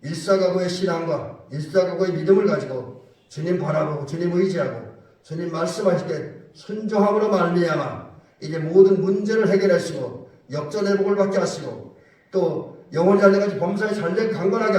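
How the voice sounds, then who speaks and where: A male pastor with a stuffy nose and slightly hoarse voice from a cold, giving plain, hesitant church announcements and a benediction. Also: sits high, brisk, darkish tone. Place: church sanctuary. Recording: echoey church hall, mic far off.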